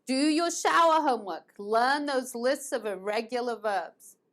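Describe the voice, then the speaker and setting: A woman's voice: fairly high pitch, animated, unhurried, a bit bright. A woman teaching an online English grammar lesson, patiently repeating verb forms. She grew up between the United States and England.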